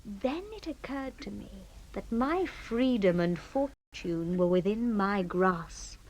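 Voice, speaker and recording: An actress playing a cruel, gloating young villainess in a radio drama, with a melodramatic manner. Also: female voice, mid-range voice, lively intonation, slow pace, darkish tone. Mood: chilling confession. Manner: melodramatic, gloating, breaking into whispers.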